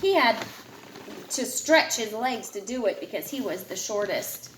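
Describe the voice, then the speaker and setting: A woman with a fairly high voice reads a children's picture book aloud. Her delivery is expressive, as if for a young listener. The room echoes around her and there is some background noise. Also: measured pace. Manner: expressive, puts feeling into the dialogue. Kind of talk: read-aloud storytelling to a child.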